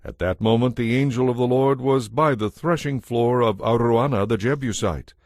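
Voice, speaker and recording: A man's voice: medium pitch, normal speed, darkish tone, dry recording, studio quality. A man giving a scripted, dramatized narration of scripture as the narrator.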